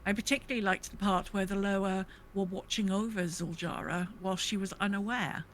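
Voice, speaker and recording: A woman's voice: mid-range voice, fairly even intonation, a little fast, a little hiss or hum. A woman co-hosting a podcast, chatting casually and reading out listener comments with gushing enthusiasm. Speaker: podcast co-host.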